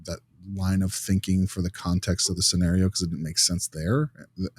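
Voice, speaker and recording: A man's voice: deep voice, level tone, normal speed, breathy edge. A man speaking informally in a casual podcast discussion, blunt and opinionated as a co-host.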